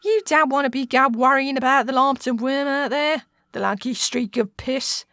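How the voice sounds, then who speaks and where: A woman's voice: high voice, moderate pace, sharp tone, no echo. A woman with an English accent playing a brash, teasing witch and jailer on the make in a comic radio sitcom, full of slang and mouthy banter.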